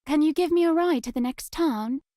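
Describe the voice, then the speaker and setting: A woman playing a solemn, mysterious young witch girl in a visual novel, speaking in formal, measured phrases. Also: high-pitched, warm tone, no room sound, studio quality, lightly acted. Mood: matter-of-fact. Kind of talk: visual novel character acting.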